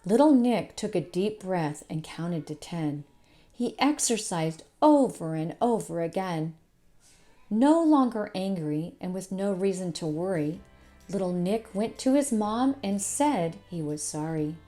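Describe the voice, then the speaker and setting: A woman's voice: on the low side, animated, measured pace, dark tone, soft background music. A woman narrating a children's storybook as a storytime host, in a scripted read-aloud style.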